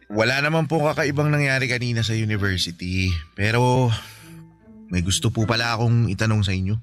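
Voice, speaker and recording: A man's voice: medium pitch, normal speed, long pauses, a bit bright, soft background music, natural voice. A man with a Filipino accent playing a cheerful, affectionate college-age son in a radio drama, boyish and eager in manner. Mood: shy, curious. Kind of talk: Tagalog radio drama acting.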